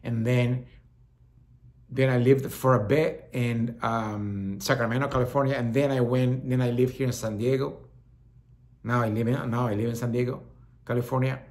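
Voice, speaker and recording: A man's voice: medium pitch, fairly even intonation, moderate pace, darkish tone. A middle-aged man from El Salvador with a Salvadoran Spanish accent, hosting a talk show in a casual, rambling monologue that often repeats words. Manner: rambling, repeats words, acts out quoted voices.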